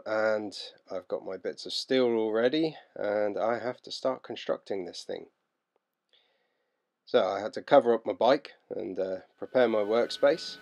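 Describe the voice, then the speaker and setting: Uk guy in his 30s, lowish voice, chatty easygoing how-i-did-it narration. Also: gravelly. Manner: relaxed, practical explaining with frequent ums. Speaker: boat builder vlogger. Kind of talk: casual voice-over narration.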